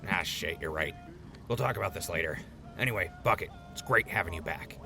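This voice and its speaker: A man's voice: low-pitched, animated, brisk, gravelly, music in the background. An actor playing a laid-back male radio DJ in a post-apocalyptic wasteland, chatty and rambling. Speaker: radio host character. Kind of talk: audio drama acting.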